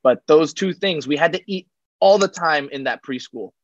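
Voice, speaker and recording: A man's voice: fairly high pitch, moderate pace, no echo, muffled recording. A young man from Taiwan, a podcast guest chatting casually and joking, with many fillers and restarts. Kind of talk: casual interview chat.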